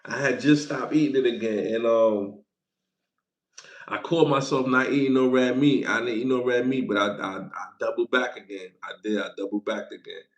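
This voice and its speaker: A man's voice: mid-range voice, steady tone, normal speed, echoey room. A man in his forties hosting a casual livestream, loose and playful, repeating himself and thanking viewers for gifts. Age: 40s. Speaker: livestream host.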